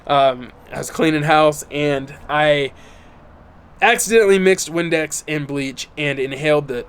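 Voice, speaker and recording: A man speaks straight to his viewers outdoors in the bright sun. His voice sits a little high and sounds stuffy and clogged. He talks earnestly and keeps checking in with the audience. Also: a little slow, clear, resonant tone. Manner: earnest, repeats "right?" and "okay?" often. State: stuffy, congested from burnt lungs. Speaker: YouTube channel host. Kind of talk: casual talk to camera.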